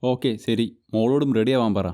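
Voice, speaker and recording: A man voicing a harsh, short-tempered stepfather in an animated moral story. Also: medium pitch, normal speed, close to an everyday voice. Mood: curt. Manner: gruff, scolding, later softly remorseful.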